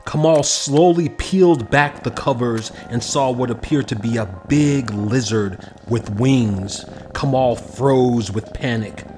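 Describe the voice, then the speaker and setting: A man reading a children's picture book aloud as an expressive scripted narration, with music behind him. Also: mid-range voice, takes it slowly, few pauses. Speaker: storybook narrator. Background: background music.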